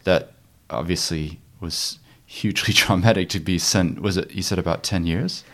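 Low-voiced male podcast host. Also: normal speed, a bit bright. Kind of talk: conversational podcast hosting.

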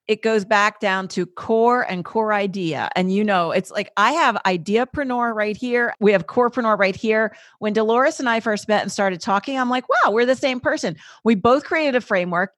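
A woman hosting a podcast who talks in a chatty, emphatic and enthusiastic way. Her voice sits at a middle pitch. It sounds dry and close, with no room around it. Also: no echo. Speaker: podcast host. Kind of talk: podcast interview. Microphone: video call.

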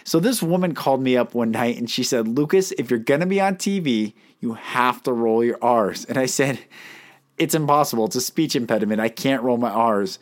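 A man's voice: mid-range voice, animated, brisk. A man hosting a solo talk episode, earnest and emphatic, asking his listeners questions; he cannot roll his R's. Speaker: podcast host and life coach.

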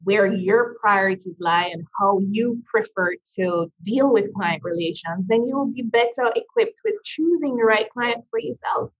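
A woman's voice: medium pitch, expressive, normal speed, few pauses, husky. A Jamaican woman with an uptown Jamaican accent that leans American Southern, talking casually as a podcast guest, laughing easily and repeating phrases for emphasis. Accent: uptown Jamaican, with an American Southern tinge. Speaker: podcast guest, business coach. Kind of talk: casual conversation. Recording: dull lo-fi sound.

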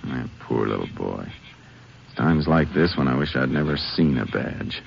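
Very deep, gravelly Texas Ranger. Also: male voice, lively intonation, heavily acted. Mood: grim, weary. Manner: laconic, folksy, measured. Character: steady male Texas Ranger investigator, gentle with children, grimly weary. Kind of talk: 1950s radio drama acting. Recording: dull, noisy old radio recording.

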